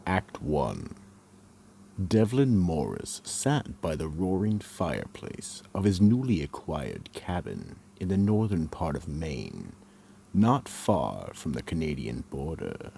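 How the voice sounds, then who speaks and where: A man playing an ominous horror host, narrating a radio mystery drama in a slow, dramatic manner. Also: a bass voice, huge pitch swings, creaky, warm tone, a little hiss or hum, full character voice. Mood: ominous, solemn. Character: ominous male Keeper of the Vault, horror narrator.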